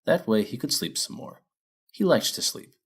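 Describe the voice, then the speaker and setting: A man reading a children's story as narrator in an expressive, scripted storytelling way. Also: mid-range voice, moderate pace, husky.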